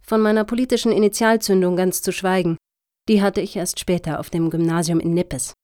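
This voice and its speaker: A woman's voice: fairly low pitch, steady tone, a little fast, darkish tone, close to an everyday voice. A woman playing a self-righteous middle-aged female activist in a satirical radio play, speaking emphatically and preachily. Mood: indignant, earnest.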